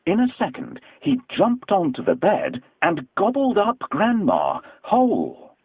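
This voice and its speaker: A man narrating a children's story from a script in a dramatic, expressive storytelling manner. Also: sits high, measured pace, slightly rough, no room sound, phone-quality sound.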